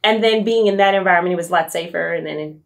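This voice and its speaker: A woman's voice: on the low side, quick pace, creaky. Candid, reflective young woman guest. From Nashville, USA. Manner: candid and reflective, many fillers like "um" and "right?". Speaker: podcast guest. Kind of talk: personal storytelling in interview.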